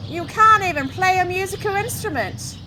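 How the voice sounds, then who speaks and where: Woman doing a storybook sidekick, teasing, supportive, emphatic, very animated, high voice, birds behind. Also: normal speed. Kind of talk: children's storybook character voice. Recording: outdoor background noise with birdsong.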